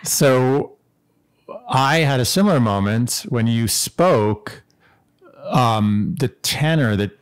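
A man's voice: medium pitch, lively intonation, takes it slowly, clean tone. A man in his forties co-hosting a podcast from his kitchen, talking earnestly with lots of restarts. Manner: earnest, rambling with many likes and restarts. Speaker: podcast co-host. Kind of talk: casual chat. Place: home kitchen. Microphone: studio mic on boom arm.

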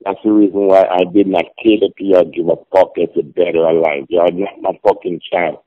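A middle-aged man with a low voice delivers a hostile, insulting voicemail tirade. He swears heavily, and the playback is muffled and lo-fi. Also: steady tone, fairly quick. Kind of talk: angry voicemail rant.

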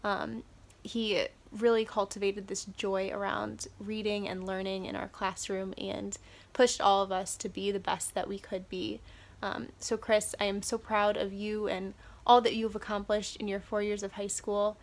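Teacher lady talking sincere n steady, pretty even pitch, lots of ums, at home. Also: measured pace, gravelly. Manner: earnest, steady, frequent "um"s. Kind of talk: recorded video tribute. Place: living room at home.

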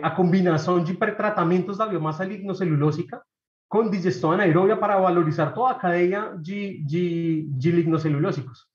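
A Colombian-accented man in his thirties, native Spanish speaker, gives an academic presentation in a hesitant, stop-start way. His voice sits fairly high for a man. The sound is dull and lo-fi, with a roomy echo. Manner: hesitant, many fillers and repeated words. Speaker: researcher presenting a talk. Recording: echoey room, dull lo-fi sound.

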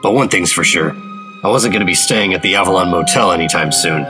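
An actor playing a hard-boiled, wary 1950s government agent in a radio drama, matter-of-fact and clipped. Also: male voice, low-pitched, fast, lightly acted. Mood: suspicious. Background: dramatic music.

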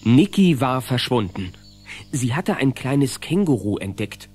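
A man's voice: medium pitch, big pitch swings, a little slow, a little mellow, breathy edge, faint music behind. A man narrating a children's radio play in scripted storytelling style.

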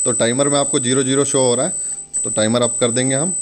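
A man's voice: medium pitch, steady tone, fast, soft background music. An Indian man giving a casual step-by-step product demonstration, instructing viewers in a friendly, direct address.

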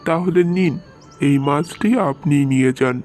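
A man voicing a gentle, hardworking village fishmonger and doting father in a cartoon, speaking in a soft-hearted, reassuring way. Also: a little high, moderate pace, soft background music, lightly acted. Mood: resigned, earnest. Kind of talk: Bengali moral-tale cartoon dub.

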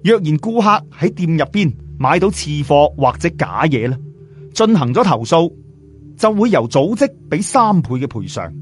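Animated male storyteller over music. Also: fairly high pitch, normal speed. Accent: Cantonese. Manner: chatty, uses sentence particles and asides to listeners. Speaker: audiobook storyteller. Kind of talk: lively colloquial story narration.

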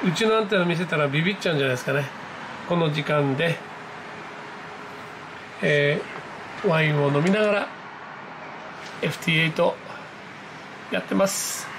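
A man giving casual, excited live commentary as a hobby vlogger, reacting aloud in exclamations as he goes. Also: fairly high pitch, normal speed, pauses often, noisy background. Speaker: amateur radio operator hosting his own video. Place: indoors at a desk, filming a computer screen.

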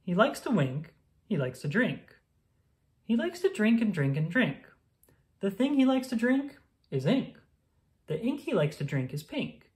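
A woman reading a rhyming children's picture book aloud in a playful, sing-song, expressive manner. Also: lowish voice.

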